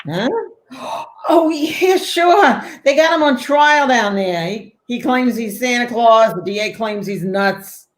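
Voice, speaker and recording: A woman playing a brash, excitable, street-smart New York postal worker in a 1940s-style radio play. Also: a little high, takes it slowly. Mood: brash, amused.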